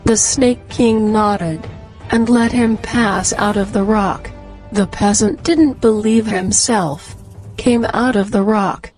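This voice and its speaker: Unhurried higher-voiced woman telling a fairy tale. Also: darkish tone, poor audio. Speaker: storyteller. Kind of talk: scripted fairy tale narration.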